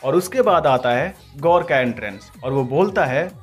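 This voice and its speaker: A man's voice: on the high side, expressive, moderate pace, music in the background. A man hosting an informal, opinionated movie breakdown as an explanatory voice-over. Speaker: video host, trailer breakdown narrator. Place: voice-over recording.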